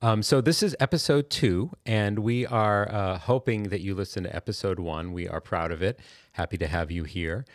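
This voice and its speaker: A man's voice: fairly low pitch, normal speed, dry recording. A man co-hosting a podcast, joking and rambling in casual chat. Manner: playful, rambling, jokey. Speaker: podcast co-host.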